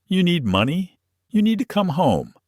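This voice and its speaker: A man voicing a gruff, blunt, stoic elderly father in a narrated story. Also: high voice, very animated, normal speed, a little mellow, dry recording, clean studio sound, pitched above the performer's own voice. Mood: gruff concern.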